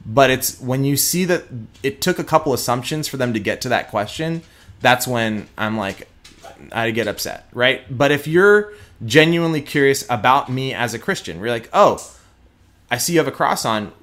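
A young man from Houston with a faint Texas twang talks candidly in an interview. His voice sits at an ordinary middle pitch, and he presses his points with emphasis, often breaking off and restarting mid-sentence. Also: expressive, moderate pace, fairly bright tone. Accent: slight Texas twang. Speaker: guest, prosecutor.

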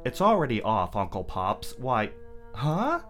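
A man voicing a feisty young superheroine woman in a read-aloud story, whiny and shrill. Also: wildly swooping pitch, unhurried, fairly bright tone, faint music behind. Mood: whiny, indignant.

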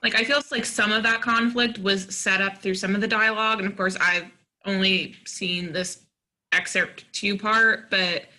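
A woman with a mid-range, sharply bright voice offers feedback in a group workshop. She talks conversationally, thinking her points through aloud. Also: medium pitch, steady tone, normal speed. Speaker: readers theatre workshop participant. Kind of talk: giving script feedback in a group discussion.

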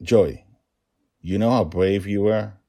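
A man's voice: on the low side, takes it slowly, dark tone, voice pushed up. A man voicing a doting storybook dragon father, playful and tender, with exaggerated, teasing emphasis. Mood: tender, encouraging.

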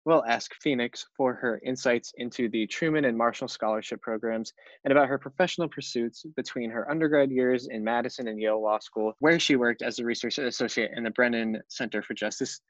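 A man's voice: medium pitch, fairly quick, a bit bright, dry recording. A young man with an American accent hosting a podcast interview, on slightly dull, lo-fi audio. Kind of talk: scripted introduction and interview questions.

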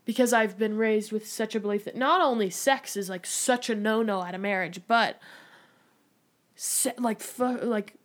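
A woman's voice: medium pitch, normal speed. A young adult woman talking candidly as a podcast guest, rambling with lots of filler words. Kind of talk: casual candid conversation.

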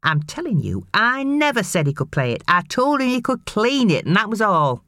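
A woman's voice: mid-range voice, sing-song, moderate pace, no echo, studio quality, pitched above the performer's own voice. A woman voicing a spiteful mother who scolds and rants at her son. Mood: scolding. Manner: sharp, scolding, ranting.

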